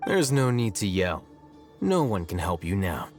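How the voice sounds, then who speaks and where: A man's voice: sits low, very animated, unhurried, darkish tone, faint music behind. An actor playing a composed, aloof man in a video game, cool and unruffled.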